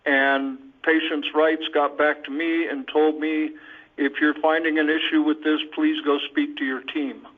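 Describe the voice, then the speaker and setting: A male caller with a slightly raised pitch and little variation in tone gives testimony over a narrow phone connection. His manner is matter-of-fact. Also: little pitch movement, a little slow. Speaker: patient caller giving a complaint. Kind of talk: spoken testimony on a conference call. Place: conference call by telephone. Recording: thin, narrow phone line.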